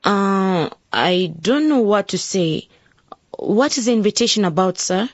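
A woman's voice: medium pitch, animated, unhurried, no room sound. An actress playing a principled young female candidate who talks hesitantly but stands firm. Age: young adult. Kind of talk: radio drama acting. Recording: dull lo-fi radio drama recording.

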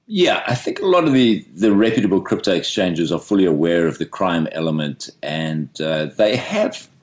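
A man interviewing a guest, speaking in a measured, hedging way. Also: low-pitched, animated, darkish tone. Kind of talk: interview questions and comment.

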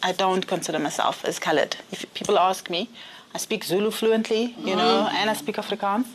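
A woman's voice: mid-range voice, fairly even intonation, a little fast, a little hiss or hum. A woman with a South African accent recounting personal experience conversationally as a panel guest. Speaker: panel guest, public health professional.

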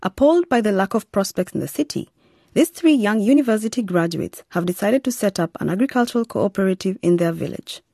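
A woman's voice: mid-range voice, expressive, fairly quick, creaky, warm tone, dry recording, studio quality. A woman presenting as narrator of a radio series, reading from a script.

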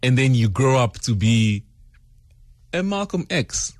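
A man's voice: medium pitch, sing-song, unhurried, no echo. A South African man chatting casually and reflectively on the radio. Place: radio studio.

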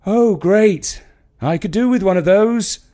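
A man's voice: sits high, unhurried, mellow, voice pushed up. Man as a wry, put-upon dad. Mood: surprised, wry.

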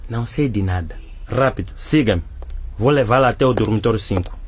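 A man's voice: fairly low pitch, fairly quick, a little hiss or hum, natural voice. A man playing a reluctant, evasive school helper in a radio drama, curt and muttering. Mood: curt, furtive. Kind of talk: scripted radio drama acting.

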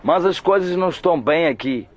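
A man's voice: on the high side, animated, fairly quick. An actor playing a worried middle-aged father who is hiding from the police, talking to his son in a radio drama.

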